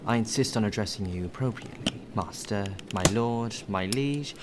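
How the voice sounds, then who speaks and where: A man's voice: fairly low pitch, moderate pace, natural voice. A man playing a polite, deferential butler in an ASMR roleplay, with formal, flowery phrasing. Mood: courteous. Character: courteous, well-spoken male butler, deferential and appreciative. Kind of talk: ASMR roleplay acting, addressed to the listener. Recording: rain ambience and clear background noise.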